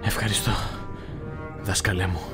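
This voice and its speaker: A man's voice: low-pitched, fairly even intonation, loud music behind the voice, lightly acted. A man playing a grave young Jedi apprentice submitting to darkness, solemn and subdued, in a film fan dub. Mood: solemn submission.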